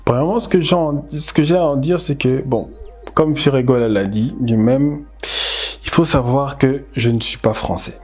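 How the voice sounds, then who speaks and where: A man from the DR Congo, a language teacher, giving animated, emphatic commentary and repeating words as he thinks aloud. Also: medium pitch, normal speed, slightly rough, faint music behind. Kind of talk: casual commentary video.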